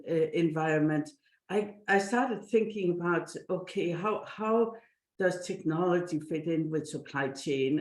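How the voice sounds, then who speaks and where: Low-pitched Danish-accented woman, roomy sound. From Denmark. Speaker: podcast guest, company chief executive. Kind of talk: conversational interview answers.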